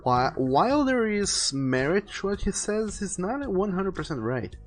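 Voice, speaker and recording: A man's voice: high-pitched, sing-song, measured pace. A man hosting a casual art livestream, talking to viewers in an earnest, conversational way. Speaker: livestreaming artist and host. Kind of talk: casual livestream chat, reading viewer messages aloud and giving advice. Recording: music behind the voice. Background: faint background music.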